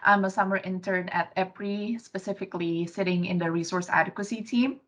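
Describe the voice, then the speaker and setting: A woman from Indonesia in her thirties, a graduate student intern giving a research presentation on a video call, soft-spoken with many fillers and restarts. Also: mid-range voice, steady tone, a little fast. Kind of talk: virtual poster presentation. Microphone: computer mic on a video call. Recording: dull lo-fi video call audio.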